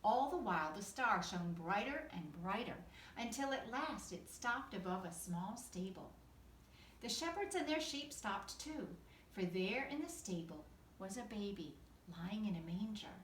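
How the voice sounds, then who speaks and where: A woman's voice: medium pitch, lively intonation, measured pace. A woman teacher reading a children's storybook aloud with expression. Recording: echoey room, distant mic, faint noise.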